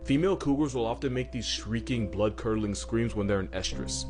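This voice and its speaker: A man's voice: mid-range voice, brisk. A man delivering scripted comedic narration for an animal facts video, with a wry, slangy and irreverent manner. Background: music.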